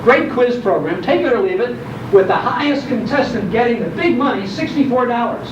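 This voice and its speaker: An older man giving a nostalgic talk to a live audience, telling it in an animated way and repeating phrases for emphasis. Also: very high-pitched, brisk. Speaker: speaker on old-time radio history. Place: indoor program venue, recorded from afar. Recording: dull, distant, echoey hall recording, loud noise.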